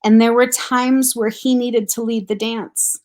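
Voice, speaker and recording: A middle-aged woman, a grief counselor, speaking thoughtfully and earnestly in a relaxed conversation, with a soft laugh now and then. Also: a little high, unhurried. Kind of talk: reflective casual conversation.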